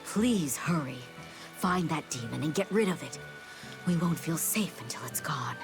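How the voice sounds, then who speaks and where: A woman's voice: low voice, animated, moderate pace. A woman playing a chatty, gossipy, slightly anxious townswoman in a video game, given to speculation. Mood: worried. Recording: music behind.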